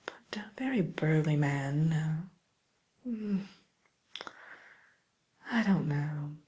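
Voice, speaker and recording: A woman playing a polite, discreet matchmaker for villains in a whispered ASMR roleplay, speaking softly and breathily with tactful, hesitant, gently dry delivery. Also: low voice, lively intonation, drawn-out words, exaggerated voice. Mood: tactful, wry.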